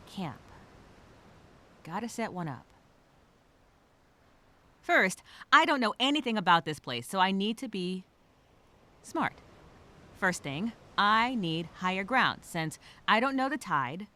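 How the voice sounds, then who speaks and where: A woman's voice: medium pitch, sing-song, takes it slowly, pauses often, bright, forceful tone, heavily acted. A woman playing a nervous, wry castaway who rambles and reassures herself in a spoken journal monologue. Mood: thoughtful, subdued. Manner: rambling, nervous, self-questioning, sarcastic asides. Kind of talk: first-person dramatic monologue as spoken journal.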